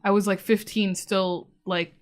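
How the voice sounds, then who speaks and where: A woman's voice: mid-range voice, fairly even intonation, a little slow. A woman in her twenties from Canada, co-hosting a casual podcast and rambling as she thinks aloud, often laughing. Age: 20s. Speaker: podcast co-host.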